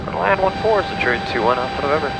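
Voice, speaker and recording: American man over loud plane engine noise. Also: on the high side, lively intonation, rapid-fire, creaky. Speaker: pilot and vlogger. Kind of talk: aviation radio calls and casual vlog talk. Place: small airplane cockpit. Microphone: aviation headset via audio cable.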